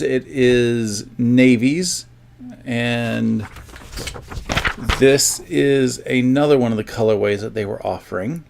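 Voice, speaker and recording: A man's voice: medium pitch, gravelly, a little hiss or hum. A man hosting a sewing livestream, chatting casually to his viewers in a rambling, self-joking way.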